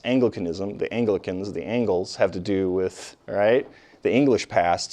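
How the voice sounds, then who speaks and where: A man's voice: lowish voice, a little mellow. A middle-aged man lecturing on history in a conversational, emphatic way, with frequent fillers and restarts. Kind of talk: classroom lecture.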